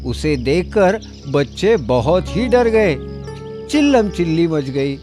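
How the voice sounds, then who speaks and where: A man narrating a scripted children's story in an expressive, dramatic storytelling manner. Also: on the high side, slow pace, music in the background.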